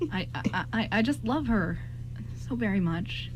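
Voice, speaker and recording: A woman's voice: medium pitch, animated, unhurried, dull audio, lightly acted. A woman playing an anxious, lovestruck young groom in an amateur radio play, stammering and repeating words. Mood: nervous, gushing. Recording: clearly noisy amateur recording with hum.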